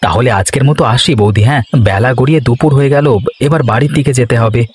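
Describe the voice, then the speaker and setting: A man's voice: medium pitch, fast, breathy edge, lightly acted. A man voicing a dutiful, good-natured husband and nephew in a cartoon, obliging and eager to explain. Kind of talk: cartoon voice acting.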